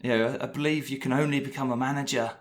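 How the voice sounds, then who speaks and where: A man hosting a podcast, asking a guest a list of questions in a brisk, lightly teasing way. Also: medium pitch, fairly even intonation. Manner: brisk, scripted intro then questions. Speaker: podcast host. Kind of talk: podcast interview.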